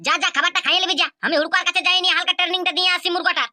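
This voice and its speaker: A woman doing a hot-tempered village big brother, purulia bengali accent, very high voice, bright, rapid. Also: fairly even intonation, no room sound, clean studio sound, full character voice. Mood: bossy. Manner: bossy, scolding, quick.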